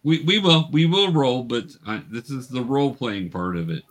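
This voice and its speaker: A man's voice: mid-range voice, animated, normal speed. Man running a tabletop game. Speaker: game master teaching young players. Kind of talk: improvised tabletop game narration and coaching.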